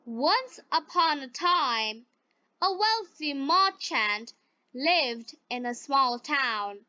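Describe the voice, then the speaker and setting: A woman reading a scripted story for English learners in short, deliberate, separated phrases. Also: high-pitched, expressive, sharp tone, no echo, muffled recording. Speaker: narrator of stories for English learners. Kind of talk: slow scripted story narration for beginners.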